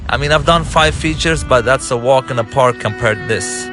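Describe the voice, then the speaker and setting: A man from Lebanon, an Arabic native speaker with a Lebanese accent, gives a halting developer commentary as the game's writer-director, often searching for his words. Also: medium pitch, sharp tone, soft background music.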